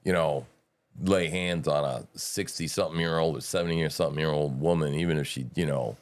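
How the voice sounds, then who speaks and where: A middle-aged man with a very low, deep voice talks loosely on a talk show. He rambles, restarts his sentences and leans on folksy slang. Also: steady tone, brisk. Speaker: host. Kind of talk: casual political talk.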